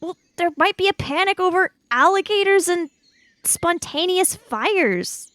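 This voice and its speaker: High-pitched, animated woman. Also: unhurried, no echo. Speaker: player in an actual-play tabletop podcast. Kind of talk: casual, joking table talk.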